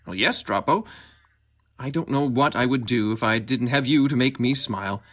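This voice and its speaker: Martian king male actor: stately, earnest, a bit dim, mid-pitched, comedic radio drama. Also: normal speed, a bit bright, close to an everyday voice. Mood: fond, earnest. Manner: formal, stately. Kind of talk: comic sci-fi radio play acting.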